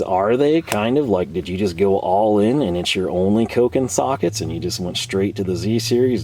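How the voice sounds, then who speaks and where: A man hosting a tool video in his workshop, chatting casually and rambling with fillers, his voice a bit hoarse from a cold. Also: low-pitched, dark tone, a little hiss or hum. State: slightly hoarse, losing his voice. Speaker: tool channel host. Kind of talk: casual show-and-tell talk. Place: home workshop.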